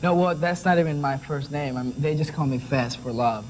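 A man's voice: fairly high pitch, brisk. A young man who grew up in Venezuela, chatting and laughing as a talk show guest, often restarting his sentences, on a lapel mic in a TV studio. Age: young adult. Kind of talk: casual chat. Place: TV studio with audience. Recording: noisy old TV broadcast.

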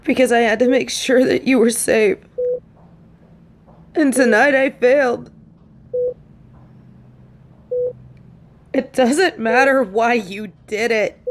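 A woman acting a fiercely protective female field agent in an audio roleplay, scolding someone she loves in an emotional, emphatic way. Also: on the high side. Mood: upset, insistent. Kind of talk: intimate dramatic audio roleplay monologue.

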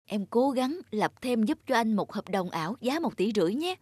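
A woman's voice: a little high, very animated, moderate pace, darkish tone, husky, dry recording, studio quality. A woman voicing a scheming, persuasive young adult son in a cartoon. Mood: low, conspiratorial. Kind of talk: cartoon dubbing.

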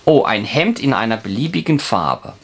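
A man's voice: mid-range voice, sing-song, moderate pace, a little hiss or hum. A man, casually and patiently explaining a children's game to a child in an encouraging way. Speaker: father playing and explaining a children's board game. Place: indoors at a table.

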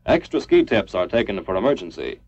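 A man's voice: lowish voice, sing-song, fairly quick, creaky, darkish tone. A man reading a scripted 1950s military training film narration in a formal, clipped, instructional manner. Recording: dull lo-fi 1950s film soundtrack.

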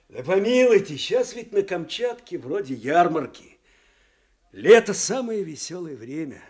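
High-pitched, eager townsman. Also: very animated, unhurried, pauses often, a little mellow. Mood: cheerful, eager. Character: cheerful, animated male Kamchatka townsman. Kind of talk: Soviet radio drama acting.

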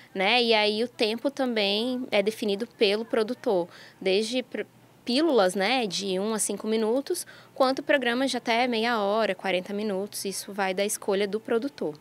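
Mulher explicando em estúdio. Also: female voice, mid-range voice. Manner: explanatory, with tag questions. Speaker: radio production coordinator. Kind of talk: interview soundbite. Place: studio with acoustic foam. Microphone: handheld reporter mic.

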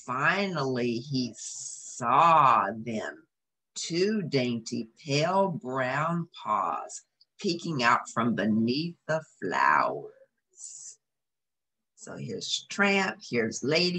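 A woman's voice: rumbling low, bright, forceful tone, breathy edge. A middle-aged woman reading a children's story aloud, with asides to young listeners. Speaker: storybook reader. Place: small indoor room.

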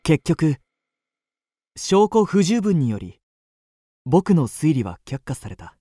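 An actor playing a suave, soft-spoken young man narrating a radio drama in the first person with measured delivery. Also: a little high, very animated, slightly rough, dry recording, clean studio sound, full character voice. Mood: composed. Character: suave young male narrator, quietly courting a lady.

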